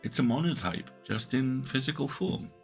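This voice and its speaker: A man reading interactive fiction aloud on a stream, with measured, atmospheric narration. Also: medium pitch, gravelly, soft background music. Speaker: game narrator.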